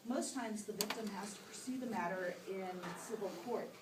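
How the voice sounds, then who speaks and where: A woman's voice: medium pitch, normal speed, roomy sound, far from the mic, heavy background noise, natural voice. A woman voicing a knowing, cynical ghost who explains things matter-of-factly in a puppet show. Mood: knowing, resigned. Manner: lecturing, explanatory.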